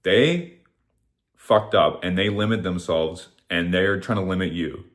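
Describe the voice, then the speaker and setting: A man's voice: deep voice, measured pace. A man in his thirties giving a casual, rambling motivational talk to camera, swearing often and shrugging things off with "whatever.". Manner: rambling, profane, repeats "whatever". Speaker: vlogger.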